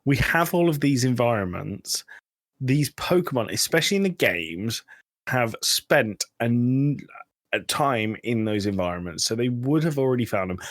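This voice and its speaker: A man's voice: mid-range voice, lively intonation, normal speed, no room sound, studio quality. A man co-hosting a podcast in casual banter, argumentative and exasperated, swearing freely. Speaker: podcast co-host.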